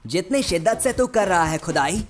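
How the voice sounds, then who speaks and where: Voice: mid-range voice, animated, moderate pace, faint music behind, natural voice. A performer voicing a wisecracking cartoon sidekick who teases and comments in punning, filmi one-liners. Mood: mocking. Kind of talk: Hindi comedy cartoon voice acting.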